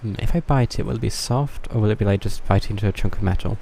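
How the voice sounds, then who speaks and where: Mellow male game streamer. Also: lowish voice, racing, gravelly, slight background noise. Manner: musing, rambling. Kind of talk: casual live gameplay commentary, thinking aloud.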